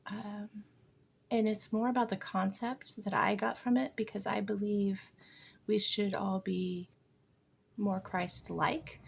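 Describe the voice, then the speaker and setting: A woman's voice: medium pitch, creaky, a little hiss or hum. An American woman giving an informal, reflective spiritual monologue as a podcast host, speaking earnestly and leaning on key words.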